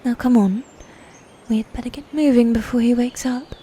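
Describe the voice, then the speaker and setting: A woman playing a proud, bitter female knight in a fantasy ASMR roleplay, breathy and often near a whisper, with formal, commanding diction. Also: fairly high pitch, steady tone, moderate pace, mellow. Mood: irritated, bossy.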